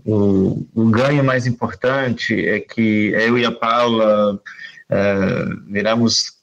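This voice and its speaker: Cara de uns 30, língua materna romeno, hesita bastante, áudio de chamada meio abafado. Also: male voice, lowish voice, fairly even intonation, slow pace, gravelly. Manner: hesitant, many fillers and restarts. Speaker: filmmaker and researcher. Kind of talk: casual panel talk. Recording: dull lo-fi online call audio.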